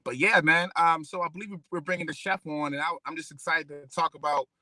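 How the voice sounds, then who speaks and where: A man with a very bright, slightly high voice co-hosts a casual live stream. He talks in a relaxed, slangy way and drops casual asides. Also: a little fast, no room sound. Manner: laid-back, slangy, laughs easily. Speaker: co-host.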